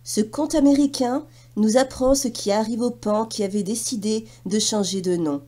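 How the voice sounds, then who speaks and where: A woman narrating a children's story in an expressive storytelling style. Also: on the high side, normal speed.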